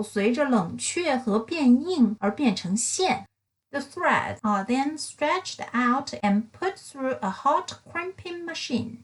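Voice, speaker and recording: Female read-aloud narrator for children's science books: higher-pitched, lively intonation, careful enunciation. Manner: slow, careful enunciation, bilingual English and Mandarin. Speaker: picture book reader and narrator.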